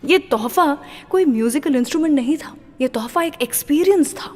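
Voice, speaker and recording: A woman's voice: high-pitched, lively intonation, a little fast, a little mellow. Reverberant woman radio host, teasing. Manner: storyteller building suspense with questions. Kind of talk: scripted radio presenting.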